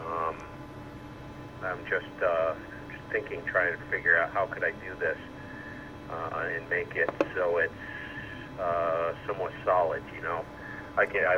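A man chatting casually over two-way radio as an amateur operator, rambling and thinking out loud. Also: deep voice. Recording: radio speaker re-recorded with loud noise.

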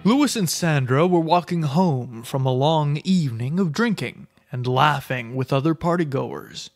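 A man's voice: fairly high pitch, lively intonation, unhurried. A man telling a scripted story as its narrator. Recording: music under the voice.

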